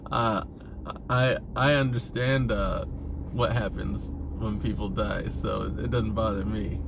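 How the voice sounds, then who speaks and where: A man's voice: lowish voice, fairly even intonation, slow. A man giving a casual, rambling personal monologue in an informal, profane way, chuckling now and then. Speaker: vlogger talking about himself. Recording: clear vehicle-like ambient noise.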